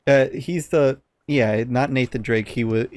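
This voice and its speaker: A man's voice: medium pitch, measured pace, a little mellow, dry recording. A man co-hosting a casual podcast, giving blunt opinions with some swearing and often searching for words. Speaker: podcast co-host.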